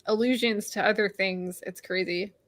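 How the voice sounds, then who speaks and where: Animated woman hosting a book chat. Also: mid-range voice, normal speed, dry recording. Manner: animated, laughs often, many fillers. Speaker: host of a book read-along. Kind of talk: casual discussion recapping chapters.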